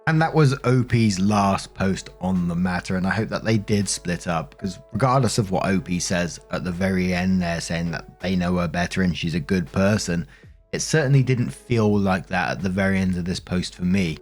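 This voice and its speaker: A man's voice: low voice, normal speed. A man hosting a story channel, reading posts aloud and adding conversational, opinionated commentary. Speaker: host narrating online relationship stories. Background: music.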